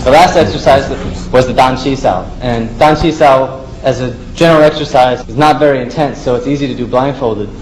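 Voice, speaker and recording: A man's voice: medium pitch, a little fast, few pauses, poor audio. A man giving plain, explanatory commentary as he demonstrates martial arts drills as a student presenter.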